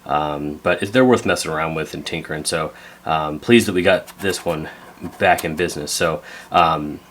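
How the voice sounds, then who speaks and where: Low-voiced man, folksy and rambling. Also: moderate pace, fairly bright tone, slight background noise. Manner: rambling, folksy, laid-back. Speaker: host of a radio repair video. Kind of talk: casual hands-on repair vlog.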